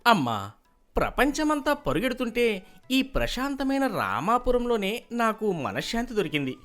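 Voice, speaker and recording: A skeptical young man, acted by a male voice in a cartoon village tale. He speaks in a high, lively voice with confident, questioning earnestness. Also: moderate pace, soft background music, full character voice. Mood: earnest, skeptical. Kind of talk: animated moral story acting.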